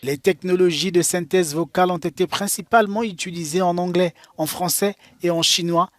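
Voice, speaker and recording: A man reading a news report as voice-over in a measured way. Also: high-pitched, steady tone, no echo. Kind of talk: voice-over narration.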